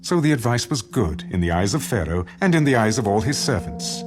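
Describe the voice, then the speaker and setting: A man's voice: on the low side, sing-song, brisk. A man narrating scripture as scripted audio drama, with solemn, dramatic storytelling. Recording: studio narration with music underneath.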